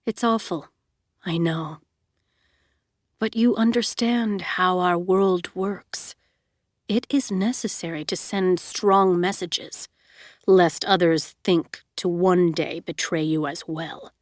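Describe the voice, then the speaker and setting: A woman voicing a remorseless male villain who speaks in a low, soothing, unnervingly calm voice. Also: unhurried, dry recording, studio quality, lightly acted. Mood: cold calm.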